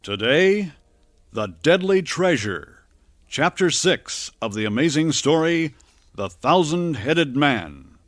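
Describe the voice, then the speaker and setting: A man's voice: a little high, big pitch swings, slow, no echo. A man announcing and narrating an old-time radio adventure serial in a theatrical, declamatory way. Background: dramatic music.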